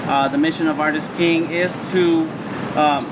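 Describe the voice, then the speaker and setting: A man's voice: fairly high pitch, steady tone, normal speed. A man hosting a casual live-stream chat, speaking in stop-start phrases with short affirmations. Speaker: podcast host and interviewer. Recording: distant mic, loud ambient noise.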